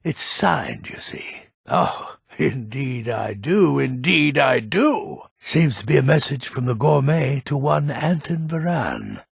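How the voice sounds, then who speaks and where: A grumpy old assassin from a video game, scornful and world-weary. The voice is extremely gravelly and rasping, with pitch that swings theatrically. He grumbles sardonically at an unhurried pace. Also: big pitch swings, no echo, studio quality, heavily acted. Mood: smug, businesslike. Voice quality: gravelly, raspy. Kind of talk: video game character dialogue.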